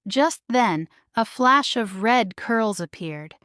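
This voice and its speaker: A woman's voice: fairly high pitch, lively intonation, takes it slowly, bright, forceful tone, no echo, clean studio sound. A woman narrating a children's bedtime story from a script as a storybook reader.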